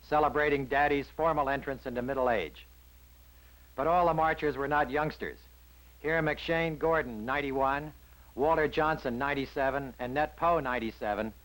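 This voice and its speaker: A man's voice: a little high, a bit bright, poor audio. An American man reading scripted newsreel narration from around 1960 in a polished, wry manner.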